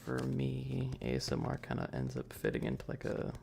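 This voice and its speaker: A man chatting casually and softly on an ASMR livestream, rambling with hesitant pauses and often slipping into breathy, whispery speech. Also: mid-range voice, sing-song, soft background music. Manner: self-deprecating, nerdy. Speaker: ASMR streamer.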